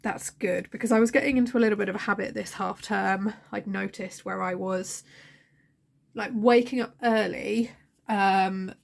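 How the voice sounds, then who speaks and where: A woman's voice: medium pitch, steady tone, moderate pace, vocal fry. A young woman, a teacher, chatting casually to camera at home while feeling fluey and tired, self-mocking. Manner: chatty, self-mocking, talks to her cat. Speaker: teacher and budgeting vlogger. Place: at home, indoors.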